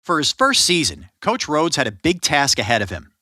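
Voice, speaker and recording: A man's voice: on the high side, sing-song, normal speed, sharp tone, dry recording, studio quality. A man narrating a sports history podcast in a scripted style, joking with his co-host.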